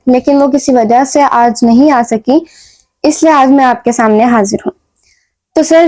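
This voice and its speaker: A woman's voice: high-pitched, darkish tone, no room sound. A young woman with a Pakistani accent, presenting a talk show and posing prepared questions as host. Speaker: stand-in host.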